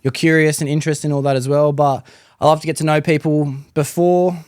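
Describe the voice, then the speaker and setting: This young man hosts a podcast, with a fairly high voice and a clean, smooth tone. He talks loosely and laughs easily. The sound is dry and close, with no room echo. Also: little pitch movement, fairly quick, a little mellow. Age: young adult. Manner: chatty, laughs often, says "bro" a lot. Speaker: podcast host. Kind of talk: casual interview chat.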